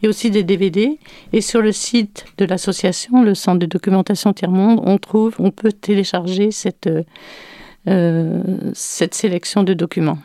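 A woman's voice: medium pitch, warm tone, no room sound, clean studio sound. A woman speaking as a guest on a radio program, hesitating often with fillers while she explains things. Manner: hesitant, many pauses and fillers. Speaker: guest from an association. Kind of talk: radio interview.

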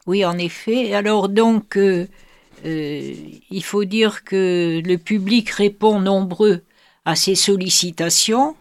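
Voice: mid-range voice, slow. A radio host who moderates the interview, asks questions, and introduces the music. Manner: leading, moderating the guests.

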